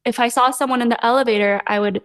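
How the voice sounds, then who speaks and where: A woman's voice: fairly high pitch, fairly even intonation, quick pace. A young woman from Los Angeles, an artist guest on a podcast, speaking hesitantly with many fillers and small laughs. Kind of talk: casual interview chat.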